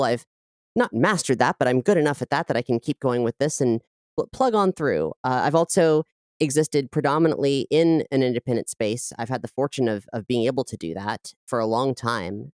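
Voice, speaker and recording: A woman's voice: extremely low pitch, darkish tone, no echo, studio quality. A woman giving casual, reflective interview answers as a podcast guest, often qualifying what she says.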